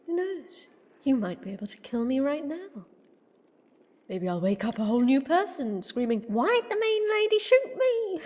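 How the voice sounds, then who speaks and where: An actress playing a gleeful, gloating alien time-meddler villain in an audio drama, with a theatrical, taunting, giggling delivery. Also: female voice, sits high, a little mellow, heavily acted. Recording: long cavernous reverb.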